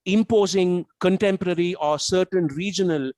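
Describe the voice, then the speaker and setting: Guy w tamil as first language, highish voice, dry close sound, chatting analysis, restarts his points a lot. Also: normal speed, a little mellow, no room sound. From South Asia, Tamil-speaking region. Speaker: guest reviewer on a book discussion. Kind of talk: casual analytical discussion.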